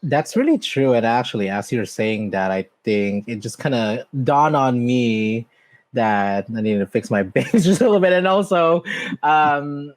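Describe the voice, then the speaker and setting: A man's voice: lively intonation, measured pace, no echo. A man hosting a podcast interview in a bubbly, chatty way, laughing often and correcting himself as he goes. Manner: bubbly, laughs often, swings up high in pitch. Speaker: podcast host. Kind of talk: casual interview chat.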